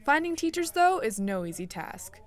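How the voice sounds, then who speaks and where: A woman's voice: high voice, sing-song, normal speed. A young adult woman reporting a student news story in a scripted, upbeat newsreader delivery.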